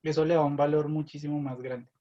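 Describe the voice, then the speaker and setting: A man's voice: a little high, little pitch movement, fast talker, warm tone, lo-fi sound. A man sharing thoughts in a casual Bible discussion, hesitant and full of fillers. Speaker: Bible study participant.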